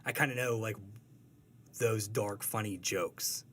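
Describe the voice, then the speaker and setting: A man's voice: on the low side, a little slow, fairly bright tone, a little hiss or hum. Rambling, self-deprecating man cartoonist. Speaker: comic strip creator, guest. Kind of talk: casual interview talk.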